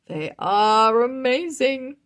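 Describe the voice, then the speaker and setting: A woman's voice: fairly high pitch, animated, slow pace, voice pushed up. A woman voicing a proud, pompous king in a children's storybook, speaking emphatically. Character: proud, blustering male king. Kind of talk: children's storybook read-aloud.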